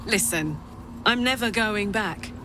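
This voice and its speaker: A woman's voice: mid-range voice, slow pace, background noise, close to an everyday voice. A woman acting a tough, big-hearted, defiant warrior in a fantasy video game, frank and emotionally open. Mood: defiant, firm.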